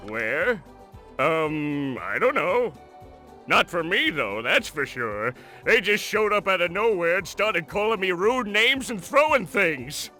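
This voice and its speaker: Bombastic high-pitched tiny cartoon villain. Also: male voice, big pitch swings, takes it slowly, exaggerated voice. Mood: grumpy, evasive. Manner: theatrical, pompous, gloating. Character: tiny evil male schemer, bombastic, self-pitying mad genius. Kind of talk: cartoon villain acting in a video game. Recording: loud music bed behind voice.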